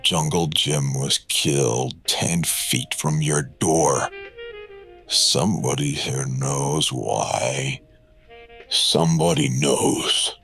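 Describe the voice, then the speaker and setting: An actor playing a grim, battered ex-wrestler in a hardboiled noir audio drama, speaking in a terse, menacing way. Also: male voice, very deep voice, extremely slow, a bit bright, soft background music, heavily acted.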